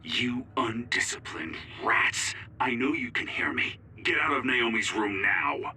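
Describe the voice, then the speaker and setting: An actor playing a stern, contemptuous military general in a video game, giving curt, scolding orders. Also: male voice, medium pitch, animated, measured pace, sharp tone, slight background noise. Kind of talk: English video game voice acting.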